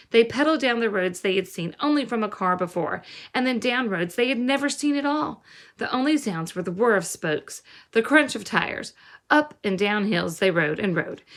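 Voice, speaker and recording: Female storyteller, medium pitch, expressive read-aloud of children's fiction. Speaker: reader, teacher-like storyteller.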